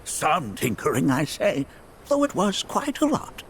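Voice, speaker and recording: An actor playing a kindly, absent-minded old sage in a video game, rambling and musing theatrically. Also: male voice, fairly high pitch, wildly swooping pitch, a little slow, creaky, a bit bright, slight background noise, full character voice. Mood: musing, proud. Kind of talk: video game character acting.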